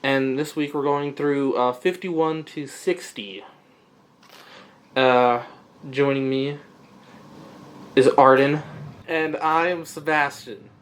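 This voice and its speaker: A man co-hosting a comic-book podcast, chatting casually and hesitantly, with frequent pauses and restarts. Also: on the high side, a bit bright. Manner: hesitant, lots of uh and false starts. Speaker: podcast co-host.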